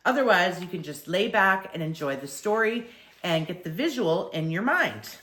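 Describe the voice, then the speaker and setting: An older woman with a low voice reads a children's story aloud. Her intonation is very animated, with deliberate phrasing and stretched emphasis on key words. The recording sounds roomy and echoey. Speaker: grandmother reading aloud. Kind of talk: expressive children's story reading.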